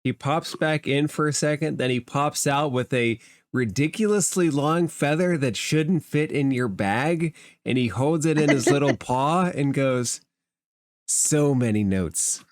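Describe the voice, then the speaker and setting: A man's voice: fairly high pitch, a little slow, no room sound, clean studio sound. A man acting as game master, improvising narration for a tabletop roleplaying game.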